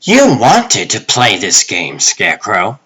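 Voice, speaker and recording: A man's voice: fairly low pitch, huge pitch swings, takes it slowly, bright, forceful tone, breathy edge, exaggerated voice. A man playing a conceited, easily irritated villain in a comic dub, pedantic and exasperated. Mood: defensive. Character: touchy, conceited male puzzle-loving villain, easily irritated.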